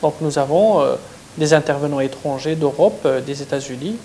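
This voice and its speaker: A man with a somewhat high voice speaks as a stock exchange official in a formal interview, measured and precise, pausing briefly now and then. A faint hiss runs under him. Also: mellow.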